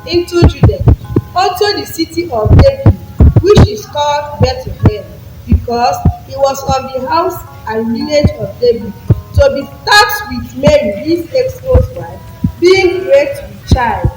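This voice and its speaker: High-voiced woman narrating, heavy echo. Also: very animated, unhurried. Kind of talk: scripted scripture narration for radio drama. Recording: very echoey hall, music behind.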